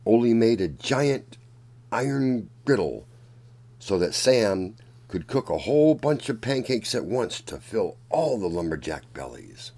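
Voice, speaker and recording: A man reading a children's picture book aloud as a storyteller, in a deliberate, dramatic manner. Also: lowish voice, big pitch swings, a little mellow. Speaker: children's storyteller and channel host.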